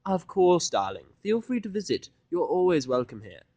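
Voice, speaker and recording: A man voicing a refined, gracious, fussy female cartoon pony fashion designer, speaking in a genteel, drawn-out, affected way. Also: high voice, big pitch swings, a little mellow, dry recording, voice pushed up, exaggerated voice.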